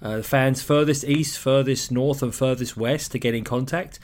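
Man, English accent, podcast host, casual solo talk, lots of ums and uhs, middle pitch. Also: steady tone, moderate pace. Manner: chatty, loose, many ums and uhs.

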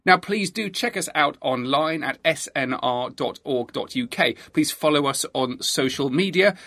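Male British podcast host. Bright, forceful voice, enthusiastic and emphatic delivery, dry close sound. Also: mid-range voice, no room sound. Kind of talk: history podcast interview and presenting.

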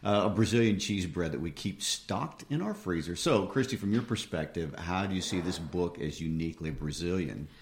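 A man hosting a casual book discussion, talking conversationally with light jokes and quick self-corrections. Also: sits low, expressive, a little hiss or hum. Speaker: podcast host.

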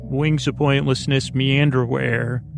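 A man hosting a sleep podcast in a creaky, soothing voice, meandering and halting through absent-minded asides in a bedtime monologue. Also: medium pitch, level tone, over music. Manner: soothing, lulling.

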